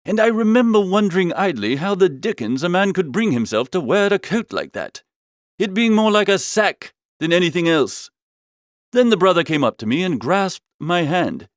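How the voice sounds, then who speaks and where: A man voicing an amiable, flustered upper-class young gentleman, chattily narrating a comic audiobook in old-fashioned slang. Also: high-pitched, big pitch swings, moderate pace, dry recording, studio quality.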